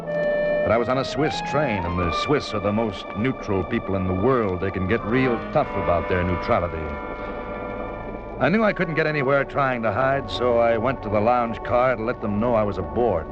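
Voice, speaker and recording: An actor playing a wry, cool American secret agent in a 1950s radio thriller, narrating and talking with a flippant, nonchalant air. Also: male voice, lowish voice, expressive, moderate pace, highs cut off, over loud music, natural voice. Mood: grim, calculating. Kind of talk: 1950s radio thriller acting, first-person narration and dialogue.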